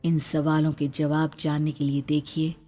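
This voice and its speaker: A woman's voice: sits low, level tone, normal speed, warm tone, faint music behind. A woman reading scripted horror story narration in a suspenseful, dramatic way.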